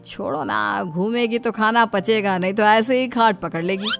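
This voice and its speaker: A woman's voice: medium pitch, moderate pace, vocal fry, music in the background, close to an everyday voice. A woman voicing a practical thirty-year-old son in a cartoon family drama, explaining in a casual, mildly exasperated manner. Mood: dismissive, weary.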